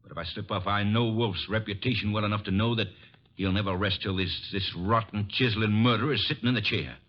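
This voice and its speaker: An actor playing a bitter, vengeful man on the run, halting but insistent, in old radio drama style. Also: fairly low pitch, steady tone, close to an everyday voice. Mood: grim, determined. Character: bitter, vengeful drifter wrongly blamed for a killing. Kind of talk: 1950s radio drama acting. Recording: muffled old radio recording.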